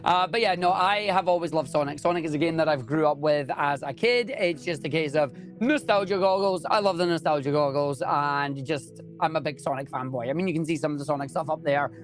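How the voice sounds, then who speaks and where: A man's voice: fairly high pitch, fairly quick, hardly any pauses, faint music behind. A young man with a Scottish accent, a speedrunner chatting enthusiastically and jokily in an interview. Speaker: speedrunner guest. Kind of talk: casual interview chat.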